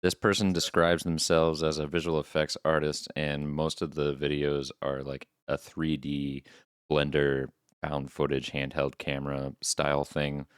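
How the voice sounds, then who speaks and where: A man with a very deep, smooth voice talks as a podcast co-host. He explains things casually and a little haltingly, in a dry, close-sounding recording. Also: little pitch movement, no echo. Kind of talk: casual recap of horror videos.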